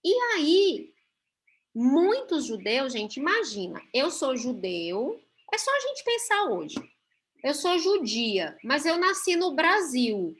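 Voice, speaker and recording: A Brazilian woman leads a Bible class over a video call. Her voice sits high and she speaks slowly and deliberately. She explains patiently and keeps checking that her listeners follow along. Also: very animated, a bit bright. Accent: Brazilian Portuguese.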